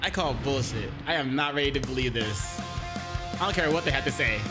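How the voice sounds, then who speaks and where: A man with a high-pitched voice casually reacting to a video as a streamer, cursing, heckling the screen and laughing often. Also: expressive, over loud music. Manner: profane, heckling and quick to laugh. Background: the reacted video playing.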